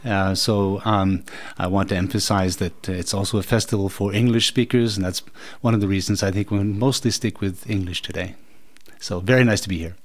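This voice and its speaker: A man, answering interview questions in a studio in a thoughtful, halting way, with many ums and uhs. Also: deep voice, steady tone. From United States. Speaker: interview guest, musicologist. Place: radio studio.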